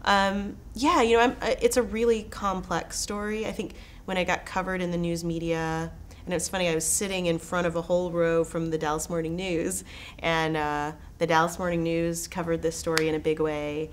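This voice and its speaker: A woman's voice: lowish voice, little pitch movement, moderate pace, slight background noise. An enthusiastic American woman from Texas, a documentary filmmaker talking conversationally as a festival interview guest. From Texas, United States.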